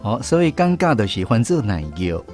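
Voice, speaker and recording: A man's voice: medium pitch, sing-song, moderate pace, a little mellow, soft background music. A man with a Taiwanese accent hosting a language lesson on the radio. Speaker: host of a Taiwanese-language quiz segment.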